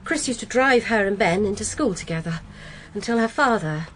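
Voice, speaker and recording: A woman's voice: mid-range voice, animated, brisk, a bit bright, a little hiss or hum, close to an everyday voice, low-quality recording. An actress playing a middle-aged, middle-class English mother in a radio drama, earnest, devoted and complaining in a genteel way.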